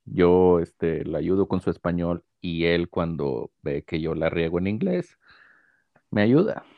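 A man's voice: deep voice, animated, measured pace, phone-quality sound. A man with a Mexican accent, a guest teacher explaining things casually, with frequent fillers like "este" and "o sea".

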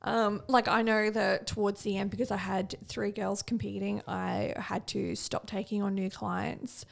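Aussie lady with a croaky gravelly voice, chatting upbeat on a podcast. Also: medium pitch, little pitch movement, unhurried. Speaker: podcast co-host and coach. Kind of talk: casual chat.

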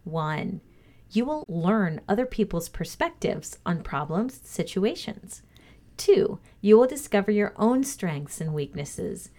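A woman reading a motivational lesson aloud as a co-host, in a measured, instructive way. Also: medium pitch, very animated, dark tone.

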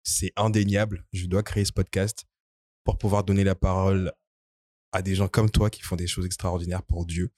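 A man's voice: low-pitched, little pitch movement, a little mellow. A man hosting a podcast, introducing his guest earnestly and then asking questions with a few hesitant fillers. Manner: earnest, measured, many fillers when asking. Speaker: podcast host. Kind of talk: podcast interview, scripted intro.

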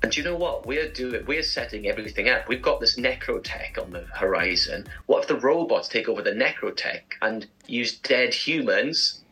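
Male co-host, bright husky voice, casual comic rambler, soft music behind. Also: medium pitch, lively intonation, moderate pace, few pauses, poor audio. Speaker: co-host presenting segments. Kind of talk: casual humorous anecdotes and rambling.

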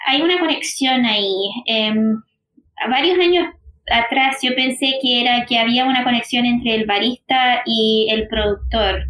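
A woman with a Chilean accent, co-hosting a podcast and speaking in a thoughtful, earnest way, often pausing to find her words. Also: fairly high pitch, a bit bright. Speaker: podcast co-host. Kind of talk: casual podcast talk. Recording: roomy echoing room.

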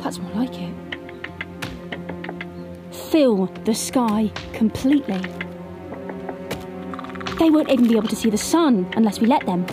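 A hard-line budgie commander in an audio drama. The voice sits fairly high, with insistent, scornful delivery. Music is clearly heard underneath. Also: female voice, animated, unhurried, long pauses. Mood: defiant, hard-line. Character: militant, defiant budgie commander rallying birds against humans.

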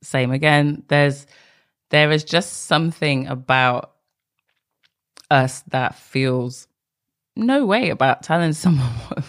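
A woman's voice: low-pitched, a bit bright. Candid woman hosting a solo podcast. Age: adult. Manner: candid, conversational, drifts off track. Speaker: podcast host.

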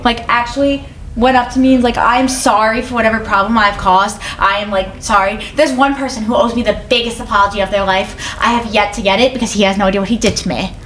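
A woman's voice: on the high side, steady tone, quick pace, fairly bright tone, a little hiss or hum, low-quality recording. Chatty teenage girl hosting a podcast. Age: teens. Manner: chatty, filler-heavy, confiding. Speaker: podcast host. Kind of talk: casual chat. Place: school.

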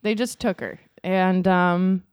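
A woman with a Minnesotan accent chatting casually on a podcast, animated and swearing freely. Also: fairly low pitch, clear, resonant tone, no echo. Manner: animated, swearing, quick bursts of excitement. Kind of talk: casual chat.

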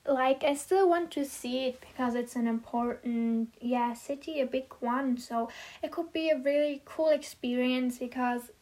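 A fifteen-year-old girl whose first language is German speaks in a high voice, taking her time. She rambles through a casual chat about herself, full of fillers and little giggles. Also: a little slow, mellow. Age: teens. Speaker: vlogger answering questions about herself.

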